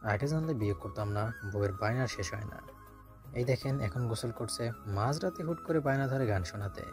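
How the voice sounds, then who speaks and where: A dejected young husband, acted by a man, worn down and henpecked, grumbles in a romantic audio story. His pitch is medium, and music is clearly heard behind him. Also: lively intonation, brisk, close to an everyday voice. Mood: weary, complaining. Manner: complaining, dejected. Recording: voice under clear background music.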